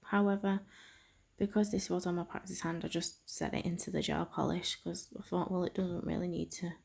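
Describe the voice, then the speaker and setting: A young woman, a nail technician, narrating a tutorial in a soft half-whisper with a slightly croaky voice. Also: fairly low pitch, level tone, fairly quick. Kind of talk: tutorial voice-over.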